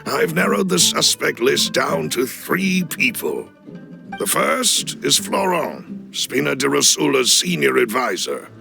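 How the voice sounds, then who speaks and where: A man playing a loyal, cautious butler in a video game cutscene, speaking in a formal, measured, deferential way with a gravelly voice. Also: fairly high pitch, very animated, full character voice. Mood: measured, analytical. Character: loyal, cautious male butler of a crime boss family. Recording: clean studio voice over music. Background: background music.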